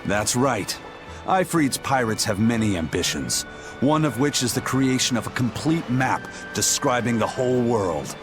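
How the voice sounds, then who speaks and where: An actor playing a stern pirate first mate in a game, measured and matter-of-fact. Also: male voice, medium pitch, animated, lightly acted. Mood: businesslike. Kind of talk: dramatic game voice acting. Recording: music behind the voice.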